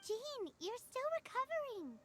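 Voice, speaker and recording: A woman voicing a small, caring fairy companion with a squeaky childlike voice in a video game, music behind her. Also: sing-song, measured pace, full character voice. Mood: pleading.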